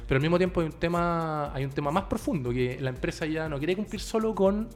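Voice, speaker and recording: A man with a Chilean accent hosting a conversational political interview, with formal, deliberate phrasing. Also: a little high. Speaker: interview host. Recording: faint music in the background.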